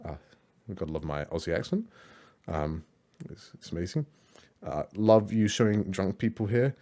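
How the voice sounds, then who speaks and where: A man's voice: low-pitched, lively intonation, normal speed, mellow. A man giving casual, rambling reaction commentary on other creators' videos, with many fillers and restarts.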